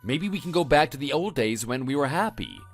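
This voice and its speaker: A man's voice: mid-range voice, expressive, a little fast, faint music behind, natural voice. A man playing a regretful, pleading husband in a radio drama, speaking earnestly. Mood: apologetic. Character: pleading, regretful husband begging his wife back. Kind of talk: dramatized story dialogue.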